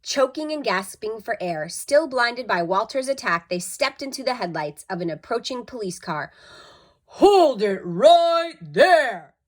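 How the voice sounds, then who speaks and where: A woman's voice: on the high side, animated, normal speed, few pauses, fairly bright tone. A woman narrating a children's story expressively, with dramatic emphasis, like a school storytime reader.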